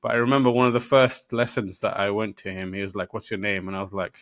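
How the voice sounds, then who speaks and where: Low-voiced man telling a story. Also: level tone, fairly bright tone, no echo, studio quality. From Pakistan, raised in London. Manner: halting, many restarts, laughs while telling. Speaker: podcast guest. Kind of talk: casual storytelling chat.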